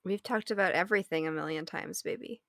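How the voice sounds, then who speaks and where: A woman's voice: lowish voice, fast, a bit bright, dry recording, studio quality. A woman acting an affectionate, teasing wife in a naturalistic audio drama table read, conversational and playful. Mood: tender. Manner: conversational, playful, explains with examples. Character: affectionate, teasing wife consoling and humoring her partner.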